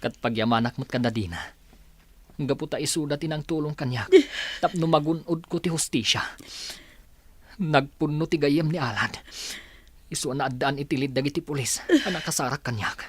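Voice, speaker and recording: Man as earnest homecoming son seeking justice, Filipino accent, husky, dry close audio drama sound. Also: on the high side, normal speed, long pauses, fairly bright tone, no room sound.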